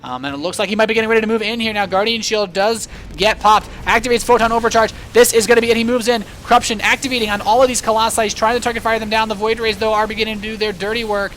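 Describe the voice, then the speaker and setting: A man giving live, animated and joking play-by-play commentary on a video game match as an esports caster. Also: high-pitched, rapid-fire, few pauses, slight background noise.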